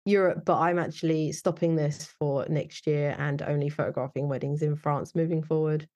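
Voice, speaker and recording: Low-pitched British woman, chatty and informal. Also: fairly even intonation, normal speed, darkish tone. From United Kingdom. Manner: chatty, informal, frequent "like" and "you know". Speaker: wedding photographer, interview guest. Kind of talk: casual conversational interview.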